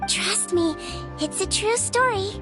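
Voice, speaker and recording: Small kindly game doctor, girlish high pitch, gentle, measured, slow, composed, music behind. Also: expressive. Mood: calm, sincere. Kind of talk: video game voice acting, storytelling.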